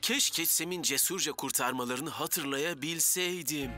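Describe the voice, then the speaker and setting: Cartoon rookie fireman, male actor: excitable, bumbling, stammering, raised pitch. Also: unhurried, over music, close to an everyday voice. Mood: let down. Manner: stammering, over-enthusiastic. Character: eager, bumbling young fireman, excitable admirer. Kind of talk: dubbed children's cartoon acting.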